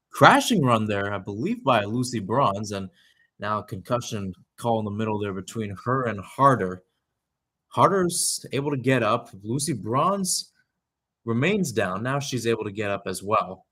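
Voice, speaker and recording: Young man calling live soccer, slightly husky. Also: medium pitch, fairly bright tone. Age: young adult. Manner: narrates in short clipped phrases, with asides and self-corrections. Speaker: play-by-play sports commentator. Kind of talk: live radio soccer play-by-play commentary.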